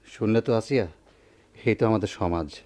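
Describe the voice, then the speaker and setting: A man playing an earnest, conscience-stricken village doctor in a radio drama, with measured, educated diction and a Bangladeshi accent. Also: lowish voice, a little mellow, natural voice. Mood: regretful, solemn. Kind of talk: Bengali radio drama acting.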